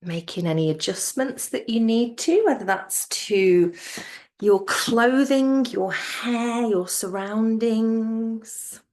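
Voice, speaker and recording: A woman teaching yoga nidra in a soothing, gentle manner, her soft voice trailing off toward a near-whisper as she guides relaxation. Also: a little high. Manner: soothing, gentle, unhurried with pauses.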